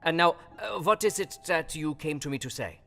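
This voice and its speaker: Wise old dutch professor, secretive but kind, really animated, odd foreign phrasing, radio drama. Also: male voice, fairly high pitch, normal speed, creaky, faint music behind. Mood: measured, reassuring. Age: older. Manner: quaint foreign phrasing, emphatic and theatrical. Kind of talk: audio drama acting.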